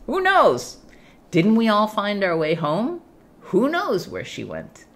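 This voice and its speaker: A woman's voice: medium pitch, huge pitch swings, slow pace, voice pushed up, heavily acted. A woman storyteller voicing a pushy, careless young princess in an insistent, flippant manner.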